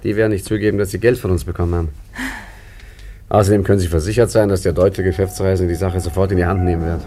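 A slightly noisy radio drama recording of a man playing an urbane rogue and forger. His voice is on the low side, and he talks in a chatty, ironic way. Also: steady tone, fast talker, warm tone, lightly acted. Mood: wry, businesslike. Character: wry, talkative rogue art forger, haggling and recounting adventures. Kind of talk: German radio play acting and first-person narration.

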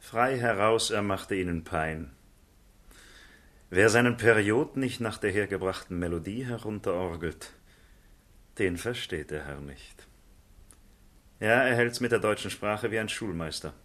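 An actor playing a cynical, world-weary gentleman in a literary radio play, confiding and mocking in a theatrical way. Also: on the low side, a little slow, long pauses. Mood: wry, probing.

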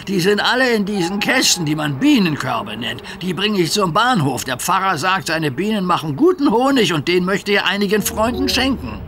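A man's voice: high voice, sing-song, moderate pace, few pauses, a bit bright, a little hiss or hum, voice pushed up. A man voicing a cheerful, friendly steam tractor in a children's audio story, good-natured and explaining things.

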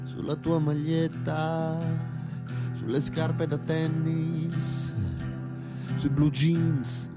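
Male theatre-song singer over loud guitar. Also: a little high, flat delivery, drawn-out words. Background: plucked guitar accompaniment.

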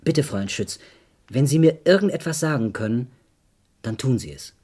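An actor playing an earnest adult son in a crime radio play, hesitant yet insistent. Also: male voice, mid-range voice, animated, moderate pace, mellow, slightly rough. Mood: urgent, pleading.

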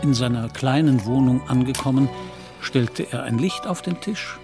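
Man as measured radio-play narrator over music. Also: medium pitch, close to an everyday voice. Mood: composed. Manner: measured storytelling. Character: composed literary narrator of a society novel.

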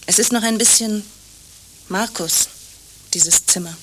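An actress playing a polite, hesitant young woman in a radio drama, speaking in halting short questions and trailing off. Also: mid-range voice, background noise, close to an everyday voice. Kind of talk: radio play dialogue.

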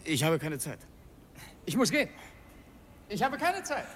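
An actor playing an earnest, brooding revolutionary who narrates in an intense, halting way in a radio play. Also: male voice, high-pitched, wildly swooping pitch, a bit bright, slight background noise, full character voice. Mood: guarded, impatient. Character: earnest, brooding male revolutionary narrating his secret errand. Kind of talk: radio play, first-person dramatic narration.

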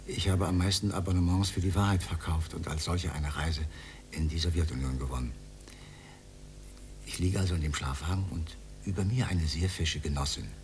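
Low-voiced, earnest old idealist, played by a man. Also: lightly acted. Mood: earnest, reminiscing. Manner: solemn, formal, wordy. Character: earnest, pedantic, lonely old communist idealist. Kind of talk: radio drama acting. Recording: faint background noise, old radio recording.

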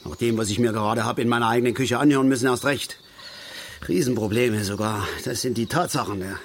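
An actor playing a middle-aged East German working-class angler, blunt, folksy and grumbling, in a naturalistic radio play. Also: male voice, medium pitch, brisk, slight background noise, lightly acted.

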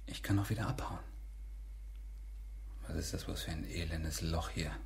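A man's voice: fairly even intonation, normal speed, heavily acted. An actor playing an irritable, impatient man on a secret visit, muttering curtly in a radio drama. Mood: annoyed, weary. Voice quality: gravelly, husky low voice. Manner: muttering, curt. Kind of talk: German radio drama acting.

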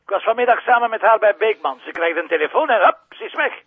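Anxious husky Flemish husband on phone. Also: male voice, high-pitched, very animated, quick pace, dry recording, full character voice. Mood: worried. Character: anxious, restless husband, tense and stubborn. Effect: telephone filter. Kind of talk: television crime drama acting. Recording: thin, dry phone-line sound.

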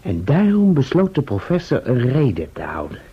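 Very raspy, cheeky storyteller. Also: male voice, mid-range voice, wildly swooping pitch, normal speed, heavily acted. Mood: wry recounting. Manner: colloquial, wry. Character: chatty, cheeky young assistant recounting his adventures. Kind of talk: radio drama narration. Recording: muffled old radio play recording.

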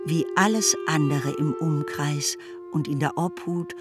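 An actress playing the narrator of a classic romantic novel in a literary audio drama. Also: female voice, low voice, measured pace, far from the mic, over loud music. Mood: measured storytelling.

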